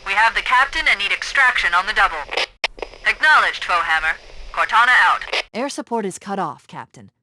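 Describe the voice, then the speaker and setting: An actress playing a brisk, confident, analytical female military AI in a video game, with precise, clipped phrasing. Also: medium pitch, expressive, pushed and bright, slight background noise, low-quality recording. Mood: brisk, urgent. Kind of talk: video game voice acting, tactical briefing.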